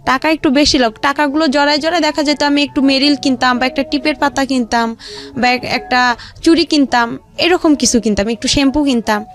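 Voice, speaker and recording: A woman's voice: high voice, fairly quick. A woman telling her life story informally as an interview guest, rambling along step by step. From Rangpur region, Bangladesh. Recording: music behind the voice. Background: background music.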